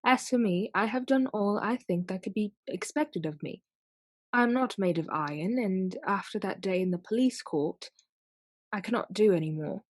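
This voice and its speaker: A woman's voice: mid-range voice, normal speed, dry recording, natural voice. A woman playing a proud, weary aristocratic widow in a radio play, formal and clipped. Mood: guarded.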